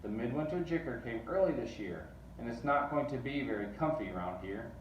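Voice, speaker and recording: A man voicing a hurried chap calling brisk warnings in a children's storybook. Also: mid-range voice, expressive, lo-fi sound, natural voice. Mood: urgent. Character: hurried, fleeing chap in a rain slicker. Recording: distant mic, roomy echo, faint noise.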